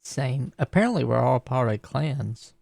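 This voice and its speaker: Male player, fry-edged voice, dry sound, tentative improvised game chat. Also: medium pitch, expressive, measured pace, darkish tone, no room sound. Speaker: tabletop roleplay player. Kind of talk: casual in-character improvised game talk.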